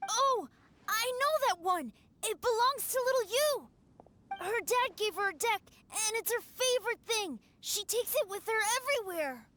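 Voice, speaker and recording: A woman's voice: very high-pitched, animated, normal speed, noisy background. A woman voicing an eager, polite small child in a video game cutscene, with a childlike delivery.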